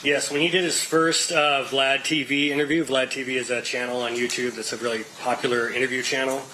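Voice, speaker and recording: An American man testifying as a witness in court, measured and explanatory. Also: mid-range voice, little pitch movement, bright, forceful tone, low-quality recording. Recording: clear background noise.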